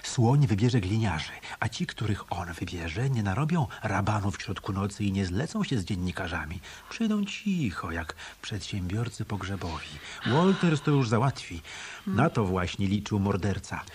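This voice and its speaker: An actor playing a cool, tough hotel detective in a Polish radio play, speaking tersely, with an unflappable, commanding manner. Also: male voice, fairly low pitch, very animated, normal speed, a little mellow, a little hiss or hum. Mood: calm, decisive.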